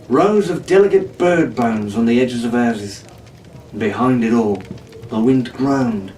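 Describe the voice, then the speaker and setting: A man narrates a storybook from a script in a mid-pitched voice. The room is echoey and he sits some way from the mic, with faint hiss behind him. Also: darkish tone, recorded from a distance. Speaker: audiobook reader.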